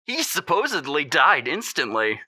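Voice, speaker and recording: A sardonic co-host who taunts with playful, cruel glee. The voice is bright and very animated, recorded close and dry. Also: mid-range voice, normal speed, breathy edge, dry recording, heavily acted. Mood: ominously matter-of-fact. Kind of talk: radio drama acting in presenter banter.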